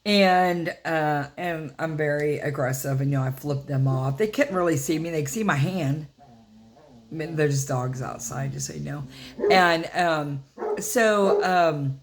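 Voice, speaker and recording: Older woman vlogger, deep rough voice, rambling casual storytelling, lots of fillers. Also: a little slow, a little hiss or hum. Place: inside a house.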